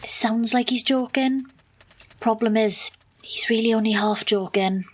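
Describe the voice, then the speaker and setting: A woman's voice: fairly high pitch, measured pace. An actress playing an exasperated, wry young woman, narrating and talking in an audio drama. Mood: wry explaining. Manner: dry, sarcastic.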